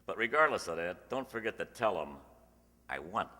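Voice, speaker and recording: A man acts out a pushy schemer of a co-worker in a radio comedy. His voice is low and sharp, slangy and insinuating, in a reverberant hall. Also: big pitch swings, moderate pace, full character voice. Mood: sly, insinuating. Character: pushy, scheming male store co-worker angling for favors. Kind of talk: old-time radio comedy acting. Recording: echoey hall with long reverb.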